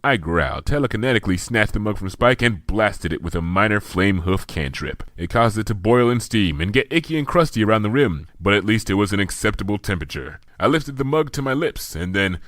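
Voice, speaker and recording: A man reading a comic fan story aloud in an animated way, doing many voices. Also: on the low side, brisk, hardly any pauses, dry recording, studio quality. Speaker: reader.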